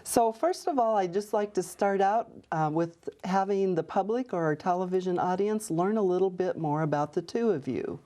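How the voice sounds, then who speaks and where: A woman hosting a community TV interview show, speaking in a measured way with frequent ums. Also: on the low side, mellow. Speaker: host, city mayor. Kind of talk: local TV interview. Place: TV studio.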